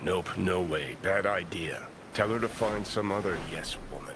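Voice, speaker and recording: A man's voice: deep voice, moderate pace, background noise, lightly acted. A man playing a cynical, dismissive companion in a video game, speaking bluntly and scornfully. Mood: scornful, refusing.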